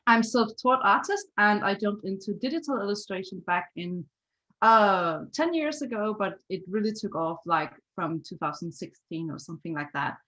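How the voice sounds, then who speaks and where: A woman's voice: medium pitch, normal speed, fairly bright tone, rough recording. A woman with a Danish accent giving a chatty, upbeat webinar presentation over a video call, laughing often. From Denmark. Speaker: guest artist presenter.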